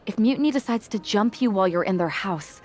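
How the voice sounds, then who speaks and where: An eager, loyal female wrestler in a game cutscene , played by a woman, with an average pitch that moves around a lot. She talks conversationally but insistently. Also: lively intonation, fast, a little mellow, faint music behind, natural voice. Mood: insistent, concerned. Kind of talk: video game cutscene dialogue.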